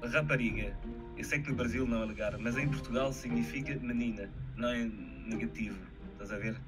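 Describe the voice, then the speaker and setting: A man's voice: mid-range voice, a little fast. A young man from Normandy, France, chatting thoughtfully on a video call and laughing easily. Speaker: guest polyglot. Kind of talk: casual video-call chat. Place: small indoor room. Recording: video call with loud music underneath.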